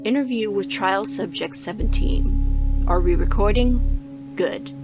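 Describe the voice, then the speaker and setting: An actress playing a calm woman doctor, interviewing trial subjects in a neutral, procedural way. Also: mid-range voice, a little slow, dull audio, loud music behind the voice, lightly acted. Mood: clinical, calm. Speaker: research interviewer. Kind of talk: scripted recorded clinical interview in audio drama.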